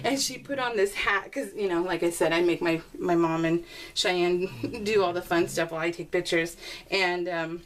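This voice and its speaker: A woman's voice: on the low side, normal speed. A woman chatting casually to her viewers in a craft video, rambling and often interrupting herself in a giggly way. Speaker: craft video maker showing scrapbook pages. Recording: clearly noisy home recording with clicks.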